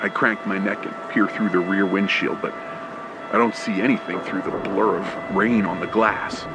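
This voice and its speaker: A man's voice: deep voice, fairly even intonation, normal speed, over music, rough recording. A man narrating a horror story in the first person, tense and suspenseful, in an old radio play style. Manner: tense, suspenseful, short clipped phrases. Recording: loud rain, storm and engine effects. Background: rain, thunder and vehicle sound effects.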